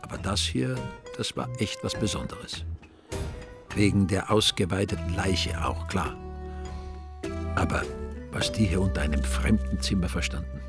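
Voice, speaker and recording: A male Austrian narrator of a radio crime drama. The voice is very deep with a lot of fry, and the delivery is wry and grumbling. Music plays clearly behind it. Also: normal speed, pauses often. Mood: wry, unimpressed. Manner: wry, deadpan.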